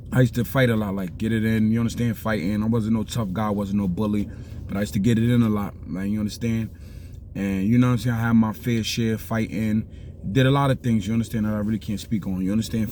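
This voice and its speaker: A middle-aged man with a Bronx accent talks in a loose, rambling street style and keeps checking that you follow him. His voice is mellow and dark. There is a faint hiss underneath. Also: on the low side. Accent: New York (Bronx). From South Bronx, New York. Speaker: vlogger giving life advice. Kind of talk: casual street talk.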